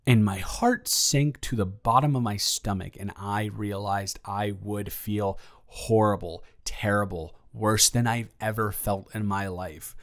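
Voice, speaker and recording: A man playing a flustered, goofy roommate confessing love to a friend, rambling casually and haltingly in an improvised audio roleplay. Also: fairly low pitch. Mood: earnest, anxious. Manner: halting, stammering, animated, jokey.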